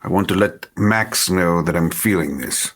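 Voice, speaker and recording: A man's voice: low-pitched, moderate pace, fairly bright tone. A man taking part as a player in a tabletop roleplaying game, stating what his character does. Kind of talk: in-game description of character's actions.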